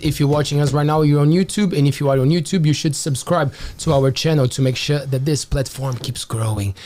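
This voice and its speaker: A man's voice: a little high, fairly quick, dark tone. A man hosting a podcast, running through an upbeat promotional intro, then interviewing with frequent restarts. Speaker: podcast host.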